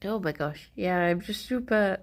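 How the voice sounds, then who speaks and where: Snuffly woman streamer with a gravelly voice. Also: mid-range voice, expressive, moderate pace. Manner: greets viewers by name, giggly. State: snuffly from a cold. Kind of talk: casual chat with live chat.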